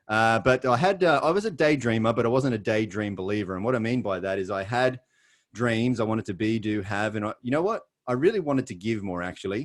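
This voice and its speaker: A middle-aged Australian man presenting on a video call, chatty and jokey with a self-deprecating streak. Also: on the low side. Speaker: guest presenter. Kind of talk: webinar presentation.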